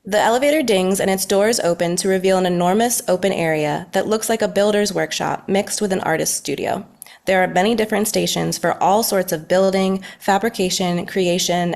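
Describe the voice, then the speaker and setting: A woman's voice: on the low side, gravelly, a little mellow. A woman reading scripted narration as the narrator at a table read.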